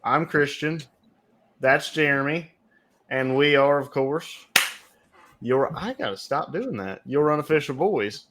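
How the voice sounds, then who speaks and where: A young man co-hosting a casual podcast from home, talking in a loud voice that carries and telling stories in a chatty way. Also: fairly high pitch, expressive, a little slow, long pauses, noisy background. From United States. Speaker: podcast co-host. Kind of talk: casual chat. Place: home, remote recording. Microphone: home podcast mic.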